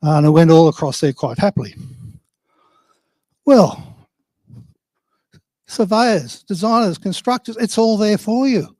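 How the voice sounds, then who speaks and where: An older Australian man speaks in a high-set voice with a soft, mellow tone. The sound is dry and close, with very little room in it. He presents informally to a conference, plain-spoken and wryly self-deprecating. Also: lively intonation, a little slow, long pauses, dry recording.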